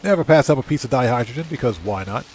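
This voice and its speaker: A man livestreaming a game, chatting casually with viewers and thinking aloud in a halting, easygoing way. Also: medium pitch, animated, darkish tone, slight background noise. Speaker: livestream host and gamer.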